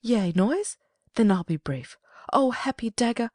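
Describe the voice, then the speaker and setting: Woman playing passionate young noblewoman, lively swooping pitch, dry close recording, emotional Shakespearean drama. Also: unhurried, no echo. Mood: desperate resolve. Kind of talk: theatrical Shakespearean audiobook acting.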